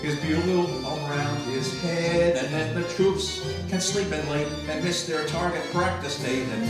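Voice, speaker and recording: A man's voice: on the high side, close to an everyday voice. A man playing an aggrieved hillbilly farmer, singing a comic folk song in a theatrical way. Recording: very echoey room, distant mic, music behind. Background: musical accompaniment.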